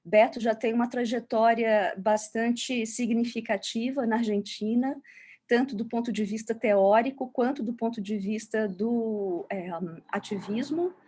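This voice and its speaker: A woman's voice: on the high side, a little slow, mellow, breathy edge. A woman with a Brazilian accent introducing a speaker as moderator on an online call, searching for her words. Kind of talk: academic introduction, unscripted.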